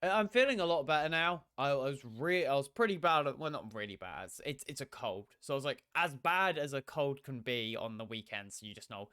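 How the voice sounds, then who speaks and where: A man's voice: medium pitch, expressive, brisk, few pauses, bright, forceful tone, clear, resonant tone. A young man chatting casually on stream, laid-back and swearing, his throat sore from a cold. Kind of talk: casual game stream chat.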